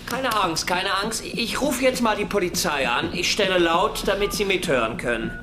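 An actor playing a commanding kidnapper in radio play dialogue. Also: male voice, sits high, lively intonation, normal speed, music in the background. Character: commanding kidnapper making a staged police call.

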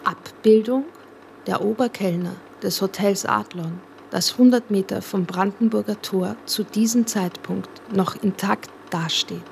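A woman playing a detached announcer who reads picture captions deliberately in a radio play. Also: medium pitch, gravelly, a little mellow, slight background noise.

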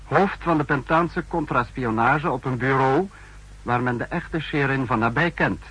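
A stern, sceptical state leader from a 1960s radio spy drama. His voice is bright and forceful with a husky edge. He speaks formally, with cool authority, on an old broadcast recording. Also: mid-range voice, unhurried. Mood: sceptical, commanding. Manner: formal, authoritative, clipped commands. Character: stern, sceptical male Protector, high-ranking state leader. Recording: muffled-edged vintage 1960s radio recording.

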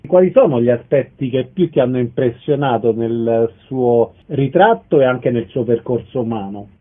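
A man's voice: mid-range voice, lively intonation, moderate pace. A man hosting a radio show, putting questions to a guest in a friendly way. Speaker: radio host interviewing a guest. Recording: thin, phone-like radio sound.

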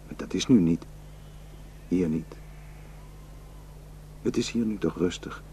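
A man's voice: low-pitched, slow pace, mellow, a little hiss or hum. An actor in a radio drama playing a protective, suspicious, hot-tempered village herdsman and husband, scornful and impulsive. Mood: calm, reassuring.

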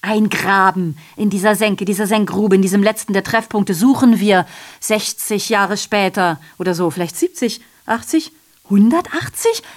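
A woman's voice: mid-range voice, moderate pace, natural voice. An actress playing a sardonic, aggrieved female messenger in a radio drama, delivering a digressive monologue full of rhetorical questions and self-corrections. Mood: bitter, insistent.

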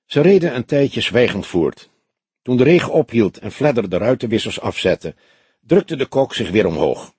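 A man's voice: medium pitch, very animated, normal speed, darkish tone, breathy edge, dry recording. A man reading a detective novel aloud as scripted narration. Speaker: audiobook reader.

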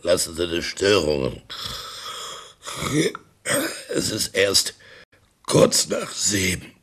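An actor playing a distraught, grumpy old professor, raspy and just out of bed, in a comic radio play. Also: male voice, sits low, measured pace, a bit bright. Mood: grumpy, sleepy. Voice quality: creaky, raspy.

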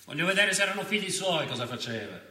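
A man's voice: a little high, very animated, fast talker, bright, forceful tone, echoey room, full character voice. An actor playing a middle-aged police commissioner and worried father in a radio drama, bitter and emotional. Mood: contemptuous. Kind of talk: theatrical radio drama monologue and dialogue.

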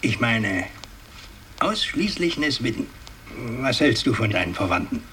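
An old aristocratic patriarch in a 1960s radio play. He declaims in a mid-pitched, very animated voice, imperious one moment and evasively muttering the next, with halting breaks. There is a faint layer of noise on the aged recording. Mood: probing, uneasy. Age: older. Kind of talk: 1960s German radio drama acting.

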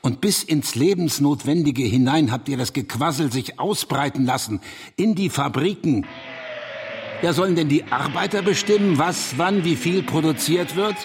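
A man's voice: fairly high pitch, lively intonation, moderate pace, slightly rough. An actor playing an indignant conservative man in a German radio drama, accusatory and contemptuous, given to rhetorical questions. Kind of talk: German radio drama dialogue, polemical debate.

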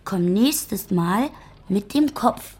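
A woman playing a simple-minded, childlike female camp inmate in an audio drama, speaking in short, plain, dreamily matter-of-fact statements with a slightly husky voice. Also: medium pitch, expressive, very slow, darkish tone, exaggerated voice. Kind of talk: literary audio drama dialogue.

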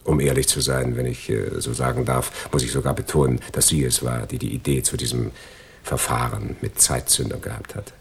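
An actor playing a gloating, envious crook in a German radio crime drama, sardonic and lecturing in a mock-polite way. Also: male voice, rumbling low, steady tone, fairly quick, gravelly, husky, exaggerated voice. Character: gloating, envious male crook, betraying his friend.

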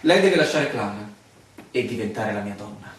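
A man's voice: on the low side, lively intonation, moderate pace, natural voice. Man acting probing stranger in an echoey room. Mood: intense, seductive. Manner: formal, questioning, hesitant asides. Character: newly arrived land surveyor, a probing, insistent male stranger. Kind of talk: stage-style Italian radio drama. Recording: echoey room, distant mic, faint noise, clicks.

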